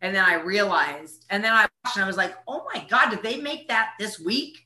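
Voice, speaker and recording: Bright-voiced woman in a roomy space. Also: mid-range voice, expressive, fairly quick. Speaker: actress, interview guest. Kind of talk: casual conversational interview. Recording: roomy, echoing sound.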